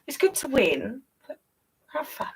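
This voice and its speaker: A woman's voice: high-pitched, huge pitch swings, a little slow, slightly rough. A woman reading a children's picture book aloud expressively, with chatty asides straight to young listeners. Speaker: children's storyteller.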